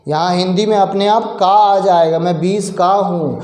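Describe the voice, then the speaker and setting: Male English teacher from India, unhurried lesson delivery, echoey room. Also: high voice, fairly even intonation, darkish tone. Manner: explanatory, asks and answers his own questions; slow, drawn-out example sentences. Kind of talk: spoken English lesson explained in Hindi.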